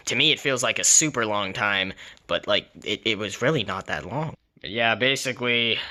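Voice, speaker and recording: A man's voice: mid-range voice, pushed and bright. A man hosting his own podcast, rambling casually and joking in an in-character persona. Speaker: podcast host.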